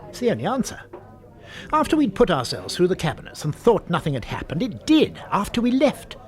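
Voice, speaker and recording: Eager, pedantic British scientist, swooping pitch. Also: male voice, huge pitch swings, a little fast, faint music behind. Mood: excited, explaining. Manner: pedantic, enthusiastic, emphatic. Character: eager, fascinated amateur scientist husband, unflappably pedantic. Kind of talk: radio drama acting.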